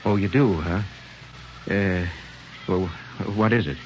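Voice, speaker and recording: A male actor playing an awkward, tender middle-aged American father in a halting 1940s radio drama style. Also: low-pitched, a little mellow, slight background noise. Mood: earnest advice. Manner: halting, hemming and throat-clearing. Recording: muffled vintage radio with music behind.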